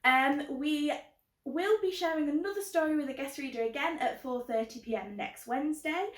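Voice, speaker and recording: A woman's voice: sits high, moderate pace. A woman presenting a children's book club in an encouraging way, recorded with a roomy echo. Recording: roomy, echoing sound.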